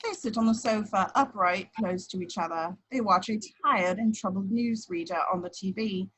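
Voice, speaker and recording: A woman's voice: medium pitch, normal speed, a bit bright, slightly rough, poor audio. A woman reading scripted action descriptions as the narrator of a screenplay table read.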